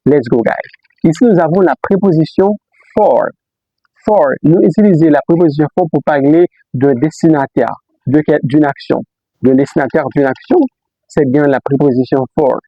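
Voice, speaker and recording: A man with a slightly raised voice teaches beginners, repeating every example sentence slowly. He keeps nudging learners along with quick "ok?" prompts. The recording is dull and lo-fi, with no room sound. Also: fairly high pitch, no echo. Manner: repeats example sentences slowly, switches between Creole, French and English; frequent "ok?" and "let's go, guys" prompts. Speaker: English teacher. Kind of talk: beginner language lesson.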